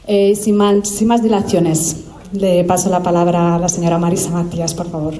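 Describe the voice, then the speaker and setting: A woman acting as press officer, formally introducing the speakers at a press conference in a measured way with small hesitations. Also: fairly low pitch, little pitch movement, mellow.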